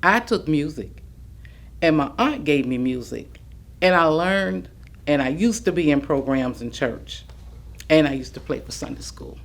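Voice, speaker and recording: A woman's voice: deep voice, lively intonation, unhurried, creaky, full character voice. An actress playing a chatty, self-assured elderly Southern woman, cheerfully boasting about childhood in a staged reading. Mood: proud, playful. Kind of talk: staged reading of oral history testimony.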